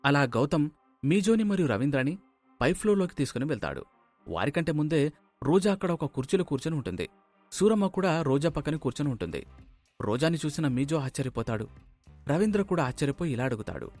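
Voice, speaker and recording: Male storyteller over soft music. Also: a little high, sing-song, brisk, no room sound. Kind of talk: scripted story narration.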